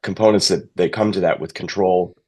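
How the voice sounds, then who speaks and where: A man's voice: sits low, fairly even intonation, fairly quick, a little mellow, husky. A middle-aged man, giving conversational interview answers as a technical sales manager.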